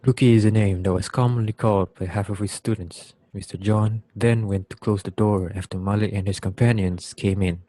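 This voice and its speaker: A man's voice: lowish voice, steady tone, a little fast, few pauses, dark tone, no echo. A young man, a student, reading scripted narration for a reader's theater piece. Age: young adult.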